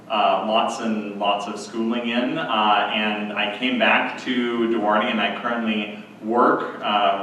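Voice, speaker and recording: A man's voice: lowish voice, fairly bright tone. Hesitant man in an echoey room. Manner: hesitant, many fillers, self-laughing. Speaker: school board member. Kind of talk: public meeting remarks. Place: meeting room. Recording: echoey room, distant mic, faint hiss.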